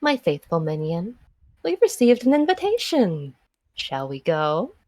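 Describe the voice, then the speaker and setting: A woman's voice: on the low side, wildly swooping pitch, measured pace, a little mellow, no echo, heavily acted. A woman voicing an arrogant, sadistic would-be goddess in a visual novel, haughty and theatrical as she savors her words. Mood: triumphant. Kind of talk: dramatic visual novel monologue.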